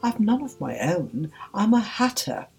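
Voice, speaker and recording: A woman's voice: medium pitch, very animated, unhurried, creaky, a little mellow, voice pushed up. A woman voicing a timid, wretched male hatter in a storybook, nervous and pleading, with a trembling voice. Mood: defensive.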